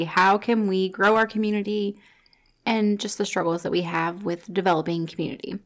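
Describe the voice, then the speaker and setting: Woman in her 30s hosting a podcast, earnest, kinda thinks out loud, mid pitch. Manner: earnest, thinks aloud with fillers. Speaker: podcast host. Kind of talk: conversational podcast talk.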